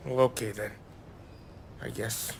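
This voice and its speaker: An actor playing a sloppy, silly drunk gangster in a game cutscene. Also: male voice, on the low side, steady tone, a little slow, creaky. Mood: petulant. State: drunk, acted. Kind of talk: comic game cutscene acting. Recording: loud background noise, engine sounds.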